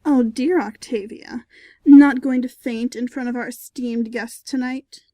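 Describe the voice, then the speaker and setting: A woman's voice: on the high side, fairly even intonation, a little slow, warm tone, dry recording, close to an everyday voice. A woman voicing a smug, catty female pony rival in an audiobook, with a mocking, challenging manner. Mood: taunting. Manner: mocking, taunting. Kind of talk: audiobook character acting.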